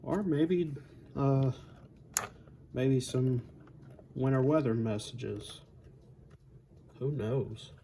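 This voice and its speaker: A young man in his early twenties talks slowly at a middling pitch. He is casually showing off gear as a hobbyist, often stopping mid-sentence. Faint noise sits behind him in a home recording. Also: vocal fry, warm tone. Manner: halting, pausing mid-sentence. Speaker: hobbyist showing weather radios. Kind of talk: casual unscripted product demonstration.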